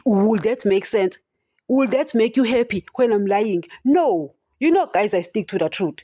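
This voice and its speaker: A woman preaches straight to her podcast listeners, stuttering at times and asking again and again whether they understand. Her voice is mellow and mid-pitched, and the recording sounds dry and close. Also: expressive, measured pace, slightly rough, no echo. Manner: direct, repeats "you understand?", addresses listeners as guys. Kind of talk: solo preaching podcast talk.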